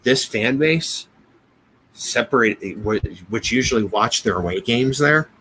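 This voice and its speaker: A man with an American accent in a casual business discussion, speaking haltingly, often repeating words and restarting. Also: medium pitch, expressive, bright, forceful tone, rough recording. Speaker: business podcast co-host. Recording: noticeable background noise.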